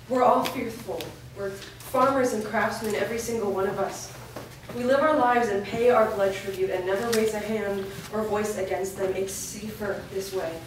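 A woman's voice: mid-range voice, natural voice. Woman playing a wary villager, very echoey. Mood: fearful, resigned. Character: wary, fearful young village woman, defensive and bitter. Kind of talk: staged play reading. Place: large bare indoor room, staged reading. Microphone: distant camera. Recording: echoey room from far off, noisy.

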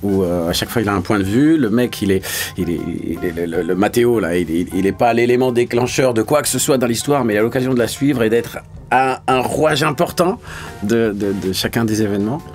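A man's voice: mid-range voice, a little fast, darkish tone, soft background music. A man, a voice actor talking casually in an interview, colloquial and searching for his words. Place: small recording studio.

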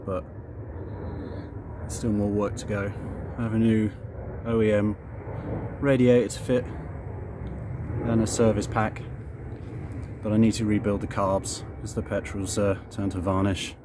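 A man's voice: fairly low pitch, flat delivery, measured pace, background noise. A man casually talking through his motorbikes in a plain, matter-of-fact way, filming outdoors on a driveway. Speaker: motorbike owner showing his collection. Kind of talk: casual walkaround narration.

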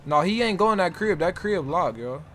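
A man's voice: high-pitched, lively intonation, moderate pace, a bit bright, slight background noise. An American man streaming a roleplay game, giving insistent, urgent orders and repeating himself in slangy in-character chatter. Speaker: game streamer playing a roleplay crew leader.

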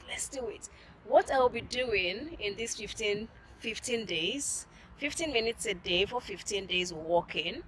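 A middle-aged woman chatting casually to camera as a vlogger, halting and repeating phrases for emphasis, recorded outdoors on a phone held at arm's length. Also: medium pitch. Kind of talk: casual motivational vlog chat to camera. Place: outdoors beside a brick building, terrace. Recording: outdoor ambient noise.

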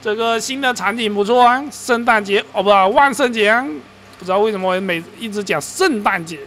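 High-voiced man rambling over gameplay. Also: brisk, fairly bright tone. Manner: rambling, self-correcting, mildly exasperated. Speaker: gaming and crypto-mining video host. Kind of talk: casual screen-recorded game commentary. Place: voice-over on his computer screen recording.

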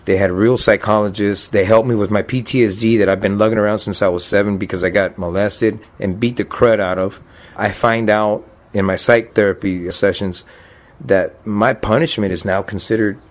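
Low-voiced earnest man in his fifties. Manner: earnest, plainspoken, emotional. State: choked up. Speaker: deported veteran telling his story. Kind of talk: documentary interview testimony.

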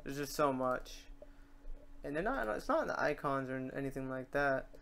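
A man hosting an off-the-cuff software tutorial, informal and rambling, muttering to himself as he works. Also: medium pitch, sing-song, normal speed, creaky. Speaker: tutorial host.

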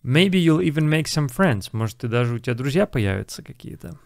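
A man's voice: medium pitch, expressive, brisk, creaky, a little hiss or hum. A young man, an English teacher, casually explaining film lines and repeating English phrases.

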